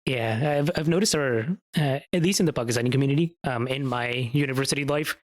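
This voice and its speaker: A man hosting a casual interview, talking in a relaxed way with easy chuckles. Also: mid-range voice, fast. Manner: relaxed, chuckles, frequent mm-hmm backchannels. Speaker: host.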